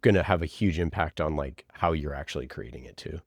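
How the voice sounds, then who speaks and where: A man answering interview questions casually, in a halting, conversational way with many small filler words. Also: extremely low pitch, dry recording, studio quality. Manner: halting, conversational, frequent "like" and "you know". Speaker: podcast producer, interview guest.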